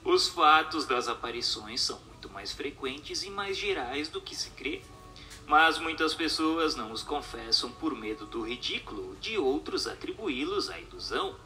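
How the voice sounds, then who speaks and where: Male radio-drama narrator: formal scripted read, animated swings in pitch, forceful and declamatory, music bed. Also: normal speed. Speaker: narrator and questioner. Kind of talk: scripted dramatized reading of a book.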